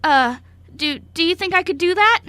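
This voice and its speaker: A woman's voice: very high voice, expressive, moderate pace, pushed and bright, voice pushed up, exaggerated voice. A woman voicing a clumsy, anxious young male dog-boy servant in an amateur radio play, apologetic, polite and easily flustered. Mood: sheepish, polite. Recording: amateur radio show recording.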